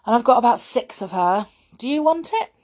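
Woman reader voicing a chatty, blunt schoolboy wizard, pitched up and lively. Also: brisk, lightly acted. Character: cheeky, blunt young wizard boy.

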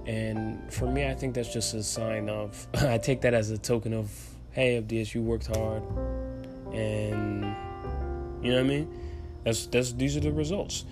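A young man in his twenties, a native Spanish speaker with a faint Dominican accent, hosts a solo podcast in an earnest, motivational way. He rambles, restarts sentences and drops fillers as he goes. Clear music plays under his voice. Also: fairly low pitch, fairly even intonation, a little slow. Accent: light Dominican Spanish. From Dominican Republic. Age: 20s. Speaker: podcast host. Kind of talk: casual solo podcast monologue.

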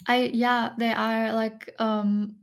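A woman's voice: fairly high pitch, level tone, takes it slowly, smooth. A young woman whose first language is German, talking casually as a podcast guest with lots of ums and restarts. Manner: hesitant, many ums and restarts. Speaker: podcast guest, ASMR creator. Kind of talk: casual interview chat.

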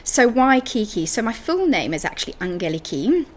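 A woman's voice: fairly high pitch, very animated, moderate pace. A woman from Zimbabwe speaking as a podcast guest and coach in a conversational interview.

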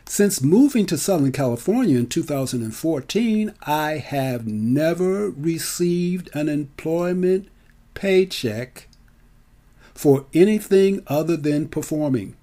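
A man in his seventies telling his own story on mic in a theatrical, emphatic way, enunciating deliberately. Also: on the high side, animated, mellow. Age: 70s. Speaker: featured storyteller and actor. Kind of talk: autobiographical storytelling to an audience on mic.

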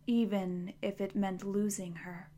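A woman voicing a huge, ancient tree monster that speaks calmly and slowly. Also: medium pitch, slight background noise, close to an everyday voice. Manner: slow, measured, soothing.

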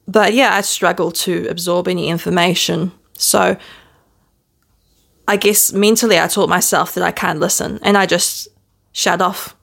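A woman with a New Zealand accent hosting her own podcast, talking to camera in a gentle, candid, self-deprecating way, filmed at home. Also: fairly low pitch, creaky. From born in the Netherlands, raised in New Zealand. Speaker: podcast host. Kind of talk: casual reflective monologue to camera. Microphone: self-filmed video camera.

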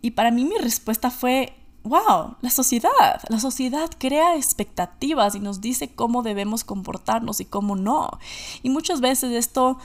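A woman with an Ecuadorian Spanish accent hosts a personal podcast monologue, speaking reflectively and deliberately, repeating phrases for emphasis. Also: fairly high pitch, lively intonation. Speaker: podcast host.

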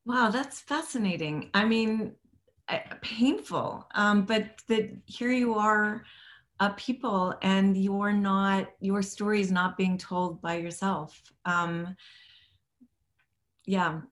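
Host role: woman asking long, searching questions with um and uh, mid-pitched. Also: takes it slowly. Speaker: interviewer. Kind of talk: interview over a call.

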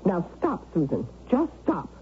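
A stern, scolding old aunt. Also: female voice, fairly low pitch, huge pitch swings, measured pace. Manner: scolding, indignant. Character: stern, moralistic older aunt, refusing firmly. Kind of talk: 1970s radio drama acting. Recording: tinny old radio broadcast with loud hiss and clicks.

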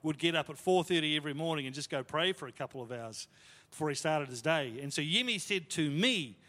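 Chatty preacher in a reverberant hall. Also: male voice, fairly high pitch, expressive, fairly quick, a bit bright. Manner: conversational, self-deprecating, lots of fillers. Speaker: pastor. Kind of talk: sermon storytelling.

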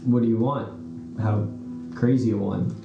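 A man's voice: fairly low pitch, fairly even intonation, normal speed, creaky, muffled recording. A man running a tabletop game, improvising casually in character as a shopkeeper. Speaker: game master voicing a shopkeeper. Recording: roomy, music behind.

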